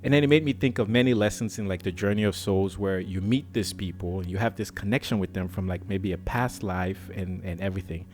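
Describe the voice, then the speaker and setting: A man from Cameroon hosting a podcast, telling a personal story in a lively, casual way and acting out the dialogue. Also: a little fast, hardly any pauses. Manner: animated, acts out dialogue with swings in pitch. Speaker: podcast host.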